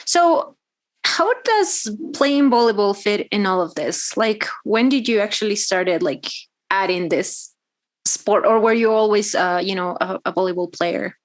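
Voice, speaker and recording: A woman's voice: medium pitch, animated, unhurried. A woman from Peru, hosting a casual video-call interview in a chatty, friendly manner. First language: Spanish. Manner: chatty, friendly, laughs easily. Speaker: host.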